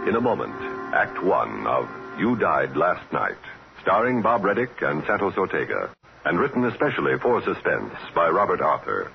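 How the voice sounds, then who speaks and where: A man announcing a 1960s radio drama in a measured, portentous, scripted style. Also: low voice, big pitch swings, gravelly. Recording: dull old radio recording, music behind.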